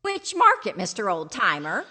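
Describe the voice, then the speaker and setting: A woman playing a patient, dry-witted wife who gently teases, in old-time radio comedy style. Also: mid-range voice, huge pitch swings, moderate pace, crowd noise. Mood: teasing.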